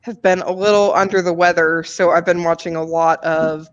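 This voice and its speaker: A woman with a fairly low voice chats casually on a podcast about games. She sounds giggly and self-deprecating, and the audio is slightly muffled. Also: fairly even intonation, normal speed. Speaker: podcast co-host.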